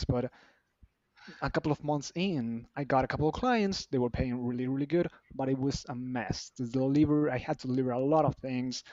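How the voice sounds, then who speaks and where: A man, earnest and halting, often restarting his sentences. His voice sits at an ordinary pitch and sounds slightly dull and lo-fi. The room is dry, with no echo. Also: a little mellow. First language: Spanish. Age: 30s. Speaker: team member sharing his story. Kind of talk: personal story on a live stream.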